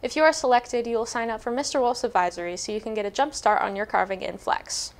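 A woman's voice: a little high, steady tone, fast talker. Chatty teen girl news anchor. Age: teens. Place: school studio desk.

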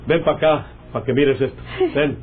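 Male actor as sly Mexican outlaw, teasing, chuckling, slow drawl, radio serial, tinny and very noisy recording. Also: mid-range voice, lightly acted. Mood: proud, coaxing. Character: gleeful sly rural Mexican outlaw courting a woman. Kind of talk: old radio serial acting.

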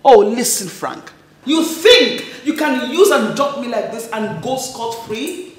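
An actress playing a scornful young jilted lover, taunting and throwing words back in a film melodrama. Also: female voice, on the high side, animated, slow, echoey room. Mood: furious. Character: scornful, wronged jilted lover confronting a man.

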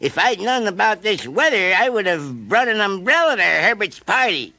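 A man voicing a kindly, chatty caterpillar in a storybook, with a high piping voice. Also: very animated, normal speed, sharp tone, no echo, exaggerated voice. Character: fuzzy golden caterpillar, kindly old fellow.

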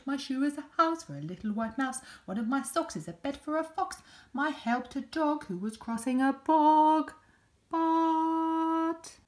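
A woman voicing a cheerful, kind-hearted male giant in a storybook reading, with a bright, sing-song delivery pitched above her own voice. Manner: bright, sing-song, louder and higher than her narration. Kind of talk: storybook character voice.

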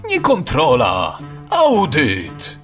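An actor playing a patient but exasperated, practical neighbour who explains things emphatically in a radio play. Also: male voice, mid-range voice, huge pitch swings, drawn-out words, fairly bright tone, soft background music, exaggerated voice. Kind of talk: scripted radio play dialogue.

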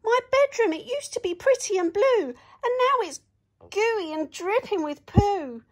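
A feisty young storybook princess, thoroughly indignant , played by a woman reading aloud. Her voice is high, loud and emphatic, swooping with outrage. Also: lively intonation, a little slow. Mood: outraged.

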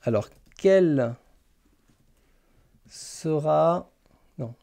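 A man hosts a casual live stream. His voice sits a little high, with a soft, relaxed tone and plenty of gravelly fry. He thinks aloud hesitantly as he works at a keyboard. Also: very slow. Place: small indoor room lined with bookshelves.

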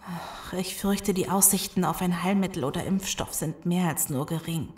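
A woman's voice: fairly low pitch, moderate pace, a little mellow, lightly acted. A woman playing an earnest scientist in a German audio drama. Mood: resigned. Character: earnest scientist woman explaining a zombie virus. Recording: echoey room sound.

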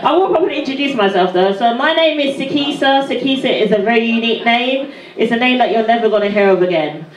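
A woman's voice: fairly high pitch, quick pace, few pauses, slight background noise. A young woman from Barbados with a British accent, doing a stand-up set on a small club stage into a handheld mic, with a punchy delivery and teasing the crowd. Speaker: stand-up comedian. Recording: roomy club sound, far and dull. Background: loud crowd laughter.

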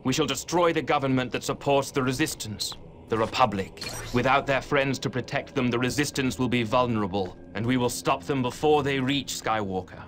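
A man's voice: mid-range voice, fairly quick, fairly bright tone, slight background noise, lightly acted. A man playing a cold, zealous military general in a video game, formal and clipped. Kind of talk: cinematic villain acting in game cutscene.

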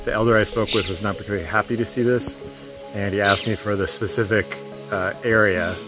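Middle-aged man, lowish voice, halting outdoor field report on a phone, music bed underneath. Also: steady tone. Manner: halting, many fillers, matter-of-fact. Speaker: conservation journalist. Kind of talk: on-location field report to camera. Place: outdoors in flooded rainforest. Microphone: handheld phone selfie framing.

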